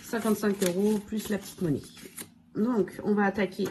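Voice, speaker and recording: A woman with a cold and a hoarse voice, casually going through her budget challenges and counting money aloud with lots of fillers. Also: mid-range voice, steady tone, normal speed, noisy background. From France. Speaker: budget vlogger. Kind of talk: casual budget review talk.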